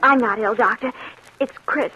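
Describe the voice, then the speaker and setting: A woman's voice: fairly high pitch, normal speed, sharp tone, husky, muffled recording. An actress playing a devoted, anxious young fiancée in her twenties, breathless and polite, in 1940s radio drama style. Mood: distressed. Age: 20s.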